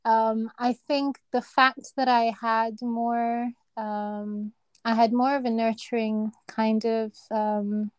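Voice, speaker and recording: Kenyan woman in her 30s, soft voice, chatty and laughy, dry close mic. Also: on the high side, flat delivery, slow pace, clear, resonant tone, no echo. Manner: chatty, laughs often, searches for words. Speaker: guest, neurosurgery registrar. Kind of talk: casual interview chat.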